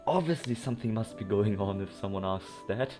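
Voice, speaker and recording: A man's voice: fairly low pitch, animated, brisk, a little mellow. A man giving casual running commentary on a game he plays, rambling and thinking aloud in an incredulous way. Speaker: gamer doing a playthrough. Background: game music.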